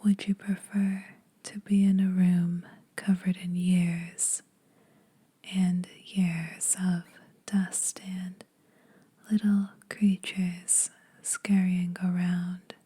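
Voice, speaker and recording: A woman voicing a teasing, predatory yet protective female vampire in a breathy whispered ASMR roleplay, speaking slowly and a little formally. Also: lowish voice, flat delivery, mellow, exaggerated voice. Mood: dry teasing. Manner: slow, deliberate, faintly formal teasing.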